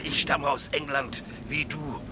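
A man's voice: mid-range voice, steady tone, lightly acted. Man acting wary marooned sailor, noisy old-style recording. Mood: earnest, grave. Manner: halting, wistful, eager, with sudden urgency. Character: wild marooned ex-pirate sailor, lonely, wary, pleading. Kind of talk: German-language radio play acting.

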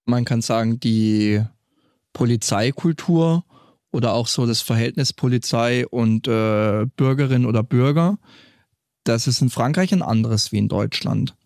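A man with a gravelly, creaky voice talks through how police patrols work, as a former group leader. He explains steadily and stops briefly now and then to find the next word. The studio sound is dry and close. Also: mid-range voice, measured pace, a little mellow, no echo. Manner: explanatory, with some hesitations.

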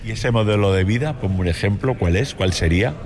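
A man's voice: on the low side, brisk, background noise. A man conducting a radio interview, prompting his guest with friendly questions.

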